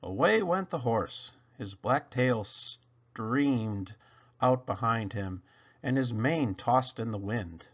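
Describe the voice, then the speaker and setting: A man's voice: mid-range voice, expressive, slow pace, lo-fi sound. A man reading a children's storybook aloud as narrator, emphatic and drawing out key words. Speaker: storybook reader.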